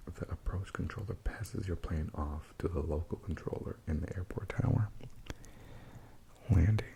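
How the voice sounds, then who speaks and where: Male ASMR host, hushed whisper, very deep, dark soft tone, breathy, gentle reading.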